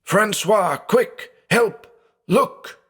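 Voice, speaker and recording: A man's voice: sits low, very animated, a little slow, full character voice. A man voicing a terrified blind man alone at night, frantic and pleading, breaking into shrieks. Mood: panicked screaming.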